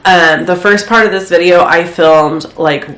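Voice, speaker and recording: A woman with a stuffy head cold chats casually while she clears out her home craft room. She rambles a bit and uses lots of filler words. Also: lowish voice, fairly even intonation, measured pace, a bit bright. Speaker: crafting vlogger. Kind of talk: casual chat while decluttering. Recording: echoey room, faint noise.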